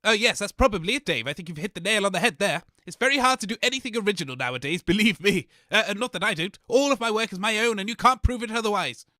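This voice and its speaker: Sarcastic, grumpy comedy host; lively, bright, dry close-mic read. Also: male voice, high-pitched, quick pace, hardly any pauses, no room sound. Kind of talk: scripted comedy sketch.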